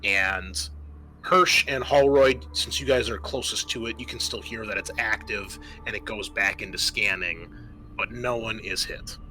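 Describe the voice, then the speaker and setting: A man acting as game master, improvising narration and explaining rules, often pausing mid-sentence as he thinks. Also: on the low side, pushed and bright, low-quality recording. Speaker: game master and host of a tabletop roleplaying session. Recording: noticeable background room noise.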